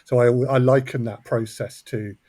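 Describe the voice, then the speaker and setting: A man's voice: medium pitch, steady tone, normal speed, dark tone, dry recording. A man speaking as an interview guest, explaining his production work in a conversational way. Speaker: audio drama co-creator and producer, interview guest.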